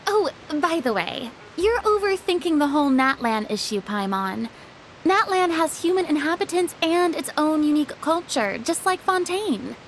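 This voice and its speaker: A woman playing a genteel, reassuring young lady with expressive, measured phrasing in an anime game. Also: sits high, a little hiss or hum. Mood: bright, explaining.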